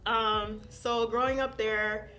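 A young woman from Haiti, raised in South Florida, a podcast guest telling animated stories about growing up, with frequent "um"s and laughter. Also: fairly high pitch, slow, faint music behind, rough recording. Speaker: guest, school counselor. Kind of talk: casual interview storytelling.

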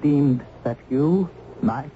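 An actor playing a proud, protective English gentleman in an old-time radio drama, with formal, florid, theatrical speech. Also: on the high side, takes it slowly. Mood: courteous. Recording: muffled, faintly noisy old radio broadcast.

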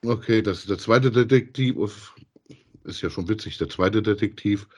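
A middle-aged man co-hosting a casual review podcast, speaking in a halting, hesitant way. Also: on the low side, a little mellow, breathy edge. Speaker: podcast co-host. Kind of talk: casual review chat about audio dramas.

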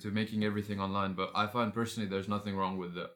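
A man's voice: fairly low pitch, flat delivery, fairly bright tone. A man in a casual group discussion, arguing his points emphatically and often jumping in to agree with the others. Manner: emphatic, quick agreeing interjections. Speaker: discussion participant.

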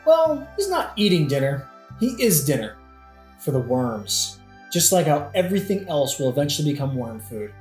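A sardonic young prince played by an actor in a satirical audio play, mocking and theatrical. The pitch swoops widely, and the voice rings in a roomy space with music behind. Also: big pitch swings, normal speed, darkish tone, lightly acted. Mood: flippant, morbid. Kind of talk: modern-language satirical audio play acting.

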